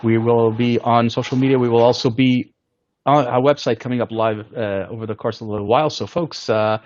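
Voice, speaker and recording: A man's voice: mid-range voice, fairly even intonation, a little fast, darkish tone, slight background noise. Joking man on a muffled line. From Canada. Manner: joking, laughs easily. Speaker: podcast co-host. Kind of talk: casual live podcast chat.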